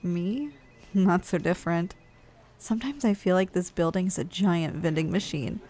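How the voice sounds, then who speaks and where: A woman's voice: fairly low pitch, unhurried, mellow. A woman playing a weary, snack-craving giantess office worker in a chatty, teasing, conversational ASMR roleplay monologue. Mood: weary, complaining.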